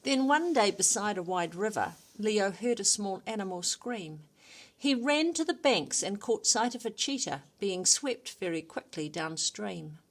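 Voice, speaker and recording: A woman's voice: mid-range voice, animated, unhurried. A woman storyteller reading a rhyming children's story expressively.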